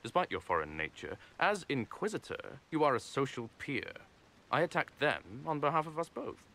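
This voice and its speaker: A man's voice: mid-range voice, big pitch swings, moderate pace, bright, forceful tone, full character voice. An actor playing a smug, condescending nobleman villain in a video game, speaking in a pompous, formal, patronizing way. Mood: condescending, persuasive. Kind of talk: video game character acting.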